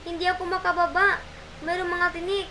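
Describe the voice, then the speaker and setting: Puppet-show monkey, played by a woman: sly bully, sharp high voice, blustery and whiny. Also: little pitch movement, fairly quick, slight background noise. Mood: whiny, pleading. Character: tricky greedy male monkey, mean and threatening. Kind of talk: amateur puppet show acting.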